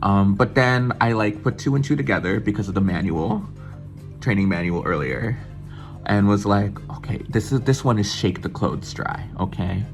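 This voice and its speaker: Young man, from the US, vlogger, casual storytime, very lively pitch, faint music behind. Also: moderate pace. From United States. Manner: animated, excitable, swears freely, mimics voices.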